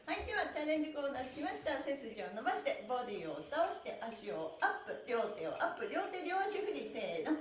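A woman's voice: sits high, big pitch swings, fast, hardly any pauses. A middle-aged woman teaching a stretching class in a cheerful, encouraging, sing-song chant while she exercises along. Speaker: stretching instructor and pantomime teacher. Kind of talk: live exercise class with counted chanting cues. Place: small indoor room, seated on exercise mat. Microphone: camera a few metres away. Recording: echoey room, distant mic.

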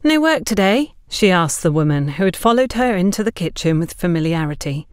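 Narrator: woman, slightly low register, scripted storytelling, dry studio-close sound. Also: lively intonation, brisk, clean tone, dry recording. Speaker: audiobook narrator.

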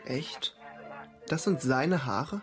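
An actor playing a curious, direct young lad in a radio drama. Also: male voice, a little high, lively intonation, drawn-out words. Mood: curious, frank. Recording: music behind the voice.

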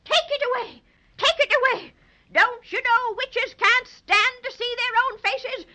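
An actress playing a wicked old witch with a shrill, screechy voice in a 1930s children's radio play. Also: a little slow, sharp tone, heavily acted. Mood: panicked, pleading. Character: shrieking wicked old witch, cowed and pleading. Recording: dull, noisy 1930s radio recording.

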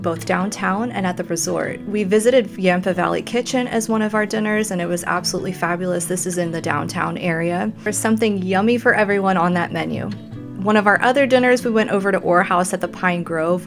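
An upbeat woman in her forties reads a travel vlog voice-over, gushing with superlatives at a mid pitch. Soft music plays faintly under her. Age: 40s. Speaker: family travel vlogger. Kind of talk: scripted voice-over narration.

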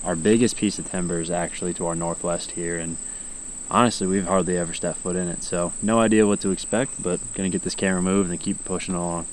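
Low-voiced young American man, outdoors. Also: fairly even intonation, fast, a little hiss or hum. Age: young adult. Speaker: hunting vlog host. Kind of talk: casual vlog talk to camera. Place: outdoors in fields and woods.

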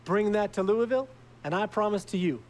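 Boastful, intense recruiter played by a man, notably high voice, formal emphatic salesmanship for a sports game. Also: fairly quick, natural voice. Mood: fervent, promising. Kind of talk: scripted game cutscene pitch.

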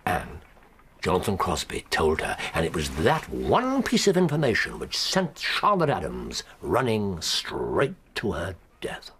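An actor with an English accent playing a precise, dry-witted, commanding gentleman detective in a radio drama. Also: low voice, huge pitch swings, unhurried, a little hiss or hum, heavily acted. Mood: intent, grave.

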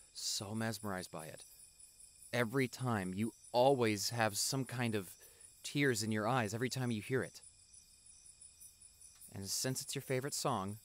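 A young man playing a devoted doctor boyfriend in a roleplay audio drama, speaking softly and affectionately with some hesitation. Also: on the low side. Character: tender, devoted doctor boyfriend on an anniversary date. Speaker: voice actor.

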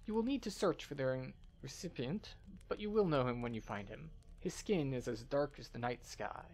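A man voicing an earnest scholar from a fantasy game expedition, speaking in a formal, measured way. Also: mid-range voice, lively intonation, darkish tone. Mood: guarded, formal.

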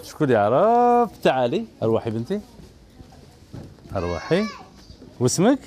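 A gentle, encouraging man with an Algerian Arabic accent hosts a children's TV show from an indoor studio set, holding a handheld mic. His voice moves lively up and down as he coaxes the kids, and a faint hiss sits under it. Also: medium pitch, normal speed. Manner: gentle, encouraging, repeats praise over and over. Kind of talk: coaxing kids on stage.

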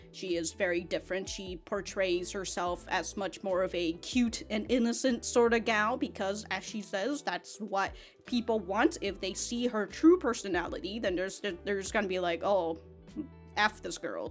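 Young woman, anime reaction host, casual rambling chat, excitable, close studio mic. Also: medium pitch. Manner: rambling, many restarts and laughs, excitable. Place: small room, home studio. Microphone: foam-covered studio mic in front.

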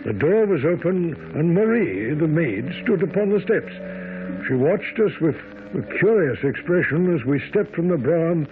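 An actor playing a genial Victorian gentleman companion in a radio drama, with expressive, old-fashioned storytelling diction. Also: on the high side, moderate pace, music in the background. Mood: narrating. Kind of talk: radio drama narration and dialogue. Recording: tinny, narrow-band vintage radio broadcast.

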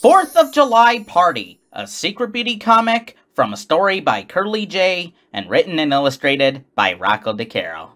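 Bright, very animated storybook narrator. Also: mid-range voice, normal speed, hardly any pauses. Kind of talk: scripted read-along story narration. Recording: music behind the voice. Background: music.

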